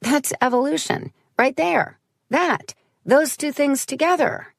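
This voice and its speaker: An exasperated teenage girl played by a woman narrator argues insistently, her pitch lifted. It is an audiobook character in a dry, close recording. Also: slow pace, creaky, no room sound, full character voice. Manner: insistent, pressing. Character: argumentative, exasperated sixteen-year-old girl.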